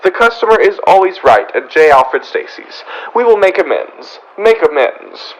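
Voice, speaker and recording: Young man acting prim harried floorwalker, radio comedy, high voice, very animated pitch. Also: normal speed, low-quality recording. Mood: apologetic. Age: young adult. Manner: repeats phrases for emphasis. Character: fussy, harried, pleading department store floorwalker, prim man.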